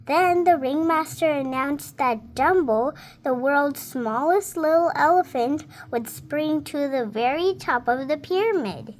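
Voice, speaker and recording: Little girl, high voice, slow halting storybook reading, noticeable background noise. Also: expressive. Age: child, about seven. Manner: halting, careful word-by-word reading. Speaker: young reader.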